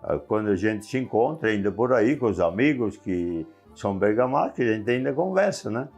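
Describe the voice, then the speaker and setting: An older man, calmly recounting memories as an interviewee. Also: on the low side, normal speed, soft background music. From southern Brazil.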